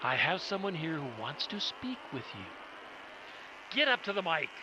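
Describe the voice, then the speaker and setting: A man's voice: on the high side, big pitch swings, normal speed. A man playing a sly, smug villainous travel agent in an audio drama, casually menacing. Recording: clear background noise.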